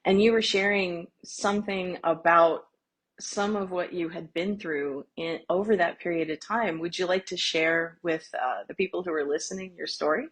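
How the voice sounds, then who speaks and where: A woman with a low, husky voice hosts a casual podcast interview. She is chatty and encouraging and keeps her guest talking. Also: normal speed. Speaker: podcast host.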